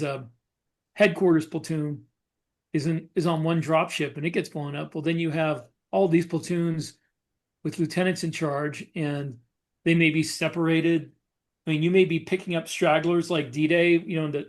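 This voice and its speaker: Higher-voiced man explaining casually. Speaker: podcast co-host and novelist.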